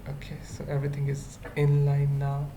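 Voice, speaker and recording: A person thinking aloud through an unscripted coding tutorial, murmuring short halting fragments with long pauses. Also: medium pitch, monotone. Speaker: web developer giving a tutorial. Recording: noisy room with keyboard typing. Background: computer keyboard typing.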